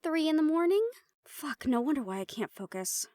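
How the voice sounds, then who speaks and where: Woman acting high-voiced, teasing supernatural team member. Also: expressive, moderate pace, dark tone, dry recording, clean studio sound. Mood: tired, casual. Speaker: voice actor in an audio roleplay. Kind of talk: fantasy audio drama roleplay, conversational acting.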